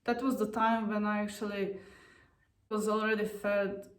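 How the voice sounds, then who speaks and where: A woman in her thirties from Croatia, telling her own story to camera in a candid, unhurried way with frequent fillers. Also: mid-range voice, level tone. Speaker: vlogger. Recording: roomy sound, mic at a distance.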